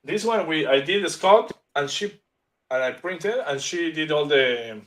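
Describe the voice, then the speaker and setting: A man with an Argentinian accent and a somewhat high-pitched voice chats casually about his work. He stumbles, restarts words and searches for phrasing, speaking as a non-native English speaker. It sounds like a video call. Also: lively intonation, a little slow. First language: Spanish. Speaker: guest artist. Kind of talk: casual chat.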